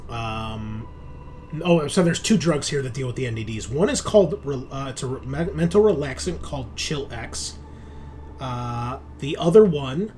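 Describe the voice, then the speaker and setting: A man's voice: mid-range voice, darkish tone, slight background noise. A man running a tabletop sci-fi game as game master, describing scenes conversationally and correcting himself as he improvises.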